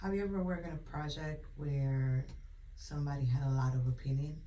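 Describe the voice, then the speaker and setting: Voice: medium pitch, fairly even intonation, brisk, mellow, muffled recording. An interviewer asking short, casual questions with brief backchannels. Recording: echoey room with faint noise.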